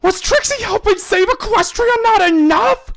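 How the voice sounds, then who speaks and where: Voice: very high voice, animated, normal speed, gravelly, pitched above the performer's own voice, exaggerated voice. An amateur reader voicing a proud, defensive young showmare who stammers indignantly, in a fan fiction reading. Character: proud, flustered young showmare, defensive, speaks in third person.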